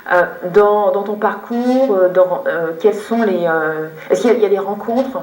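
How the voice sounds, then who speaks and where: A woman interviewer in a conversational TV interview, halting as she builds her questions in fragments. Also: mid-range voice, a little mellow. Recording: roomy echo, distant microphone.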